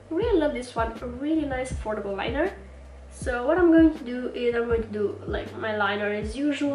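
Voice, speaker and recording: A young woman hosting a casual makeup tutorial, chatty and slightly stuffy from a cold as she works at a mirror. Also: on the high side. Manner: chatty, talks while working at a mirror. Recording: roomy, mic at a distance, music underneath. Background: background music.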